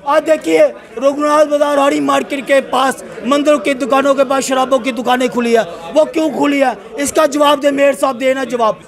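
A man's voice: extremely high pitch, fairly even intonation, normal speed, vocal fry, hardly any pauses. A man, a politician making insistent, challenging remarks to the press. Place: large indoor hall. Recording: crowd murmur in hall.

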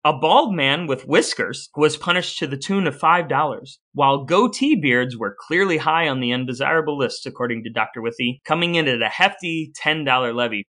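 A man's voice: fairly high pitch, a little fast, few pauses, sharp tone. A man co-hosting a podcast, chatting and presenting from partly scripted notes. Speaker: podcast co-host.

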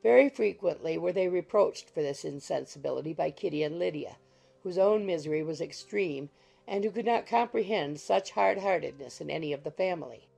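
Gravelly, husky woman narrator. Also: on the low side, soft background music. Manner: measured, even storytelling. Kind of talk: scripted audiobook narration.

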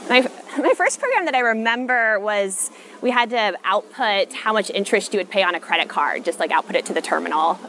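An American woman in her thirties answers interview questions casually and thoughtfully. Her pitch moves around a lot, and clear outdoor city noise sits behind her. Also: animated, fairly quick, bright, forceful tone. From Texas, United States. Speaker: interviewee, machine learning researcher. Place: outdoor covered city walkway.

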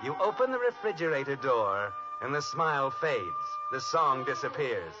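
A man performs a melodramatic narrator of a fifties radio mystery parody. He is ominous and all-knowing, with highly animated pitch. Loud music plays behind the dull old recording. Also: moderate pace, close to an everyday voice. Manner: theatrical, ominous. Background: loud dramatic music.

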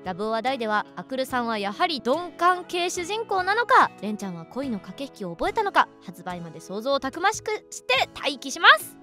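A woman radio host with a bright, high-pitched voice chats casually over background music. She is playful and giggly, chiming in often, with an easy conversational flow. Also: very animated, a little fast, hardly any pauses. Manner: giggly, playful, frequent agreeing interjections. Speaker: radio show host and voice actress. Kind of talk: casual chat radio talk, reading listener mail.

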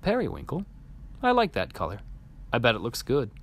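A man's voice: mid-range voice, huge pitch swings, fairly quick, a bit bright, exaggerated voice. A man voicing a patient, encouraging, hopeful father in a storybook, reassuring and upbeat.

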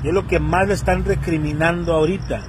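A man's voice: fairly high pitch, quick pace, noisy background. A man in his thirties with a Mexican Spanish accent, giving a casual, slangy commentary rant to his phone camera outdoors and acting out the people he quotes. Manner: animated, slangy, acts out quoted voices. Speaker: vlogger. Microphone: phone selfie camera.

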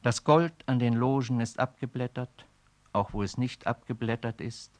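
An actor speaking a weary man's poetic inner monologue in a radio play, slow and brooding. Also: mid-range voice, steady tone, a little mellow, close to an everyday voice. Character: weary, brooding man musing on decay.

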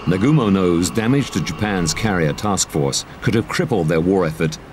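A man narrating a documentary from a script in a dramatic, measured manner. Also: low-pitched, lively intonation, background noise. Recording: loud music under the voice. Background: dramatic score.

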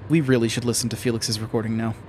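A man's voice: mid-range voice, level tone, rapid-fire, darkish tone, slight background noise, lightly acted. A man playing a guarded government agent in a horror audio drama, dry, clipped and matter-of-fact. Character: guarded, dry federal agent of an obscure underfunded division.

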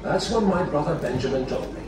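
A man's voice: fairly high pitch, expressive, brisk, far from the mic, noisy background, lightly acted. An actor playing an earnest young Quaker chocolate maker, telling his own story in a scripted exhibit monologue. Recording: echoey exhibit audio played from off.

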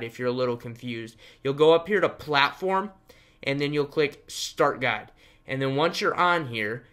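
A man's voice: mid-range voice, sharp tone. A young man hosting a casual screen-share tutorial, talking in an upbeat, emphatic way like a salesman. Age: young adult. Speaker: tutorial host and web design trainer.

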